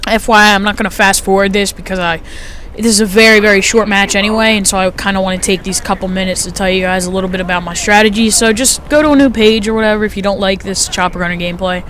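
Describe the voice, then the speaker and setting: A fifteen-year-old boy with a light, high-sounding voice, chatting casually through his gameplay commentary with lots of fillers. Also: fairly even intonation, fast, bright, forceful tone, slight background noise. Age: teens. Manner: chatty, rambling, frequent fillers.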